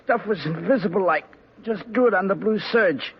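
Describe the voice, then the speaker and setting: A man's voice: high-pitched, moderate pace, breathy edge, heavily acted. An actor playing a wounded, scared male crook in an old radio drama, pleading in a panic. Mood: cowed. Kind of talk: 1950s radio drama acting. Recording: muffled old radio broadcast.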